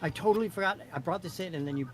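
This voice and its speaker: A man's voice: high-pitched, racing. A man talking casually as co-host of a livestream. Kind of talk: casual chat.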